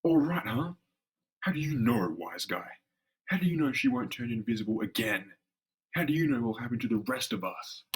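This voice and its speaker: A man voicing a gruff, bitter, combative brawler turned hulking monster in a dramatic comic-book reading. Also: lively intonation, moderate pace, natural voice. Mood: angry, combative. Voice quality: gruff, low voice. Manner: combative, sneering tough-guy talk.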